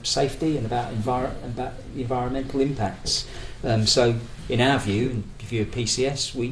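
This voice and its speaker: Echoey talk by a hesitant British man. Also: mid-range voice. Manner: hesitant, searching for words, discursive. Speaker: trade union speaker. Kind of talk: conference talk, semi-prepared political argument. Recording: echoey room with faint background noise.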